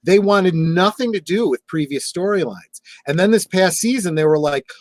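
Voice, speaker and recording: A man talking in a casual fan panel discussion, earnest and measured, often restarting his sentences. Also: sits high, expressive, dry recording, studio quality.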